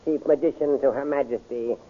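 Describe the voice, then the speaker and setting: A man's voice: medium pitch, fairly even intonation, fairly quick, close to an everyday voice. A man playing a hesitant, grumbling court magician in an old radio drama, stammering with many ums. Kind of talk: 1930s radio drama acting. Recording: dull lo-fi old radio recording, faint hiss.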